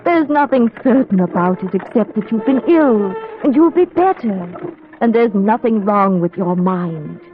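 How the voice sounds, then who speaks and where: A woman playing an anxious, devoted young gentlewoman, earnest and pleading, in an old-time radio play. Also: mid-range voice, animated, unhurried, soft background music, natural voice. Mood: tender reassurance. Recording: tinny band-limited old radio recording.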